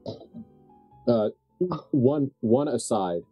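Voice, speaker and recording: A man's voice: medium pitch, lively intonation, very slow, warm tone, dry recording, soft background music. A man talking casually at a tabletop game as game master, informal and dryly wry.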